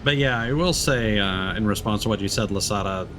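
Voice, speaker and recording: A man's voice: lowish voice, a little fast, noisy background. A man livestreaming a video game, chatting casually in a musing, discursive way. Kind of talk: casual game stream commentary.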